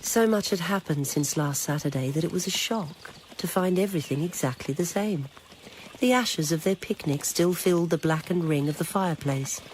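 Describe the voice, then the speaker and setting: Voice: medium pitch, normal speed. A narrator reading literary, scripted prose for a radio drama. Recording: noisy old radio recording.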